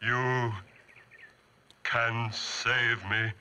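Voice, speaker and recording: An actor playing a lonely, pleading giant monster in halting phrases, in the style of 1940s radio horror. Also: male voice, mid-range voice, flat delivery, bright, forceful tone, clear, resonant tone, heavily acted. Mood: pleading, insistent. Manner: slow, halting, deliberate phrasing.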